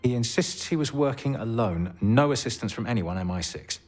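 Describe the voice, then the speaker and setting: A man acting game intelligence officer, husky, varied intonation, measured, dry briefing. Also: medium pitch, animated, darkish tone, faint music behind, natural voice. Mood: dry, thoughtful. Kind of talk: cinematic video game acting.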